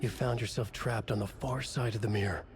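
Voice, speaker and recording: A man playing a brooding writer who reads his dark horror manuscript aloud in a grave, deliberate way. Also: on the low side, steady tone, background noise, lightly acted. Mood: grave, ominous. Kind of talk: scripted literary horror narration.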